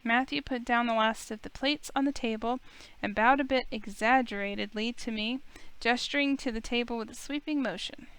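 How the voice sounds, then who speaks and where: Woman as clear, higher-voiced wry young heroine. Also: normal speed, a bit bright, low-quality recording. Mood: narrating, wry. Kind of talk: first-person story narration and game dialogue.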